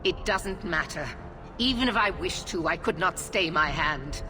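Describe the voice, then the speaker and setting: A woman's voice: fairly low pitch, lively intonation, unhurried, noisy background. An actress playing a stern, zealous female knight commander, an imperious and declamatory villain in a fantasy game. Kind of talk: fantasy video game voice acting.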